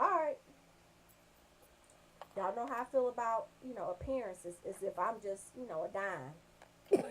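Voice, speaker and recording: A woman's voice: mid-range voice, fairly bright tone, slight background noise, low-quality recording. A woman telling comic stories as a radio show host, brash and freely swearing.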